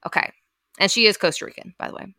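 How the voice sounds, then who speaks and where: Woman podcast host telling a story, chatty and animated, bright voice, dry close sound. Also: fast talker, dry recording. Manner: chatty and animated, with filler words and playful sound effects. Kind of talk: casual storytelling chat.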